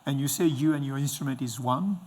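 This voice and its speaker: Male interviewer, middle-aged, husky mid-pitched voice, reflective and encouraging with the guest. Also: fairly quick, a little mellow. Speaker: interviewer, host. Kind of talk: conversational interview. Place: indoor stage with dark curtains.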